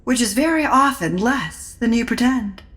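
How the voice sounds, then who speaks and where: A woman's voice: a little high, lively intonation, normal speed, fairly bright tone. Woman as sardonic society lady, swooping delivery. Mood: dryly cutting. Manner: dry, arch, sardonic. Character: witty, cutting, aloof society lady guest. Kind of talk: audio drama acting.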